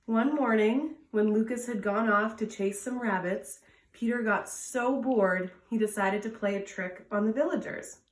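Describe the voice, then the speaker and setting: A woman's voice: a little high, a little slow. A woman reading a children's story aloud as a storytime reader, recorded indoors at home. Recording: roomy echo, distant from mic.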